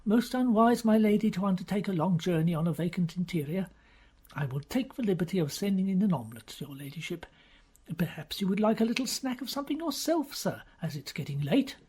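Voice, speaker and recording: A woman voicing an unflappable male butler who speaks in a measured, deferential, formal way. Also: medium pitch, expressive, warm tone, husky.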